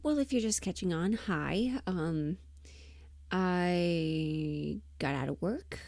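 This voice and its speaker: A woman livestreamer chatting casually and thinking aloud in an unhurried, musing way, often trailing into half-whispers. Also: lowish voice, vocal fry, darkish tone, dry recording, studio quality. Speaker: audio roleplay performer and livestream host.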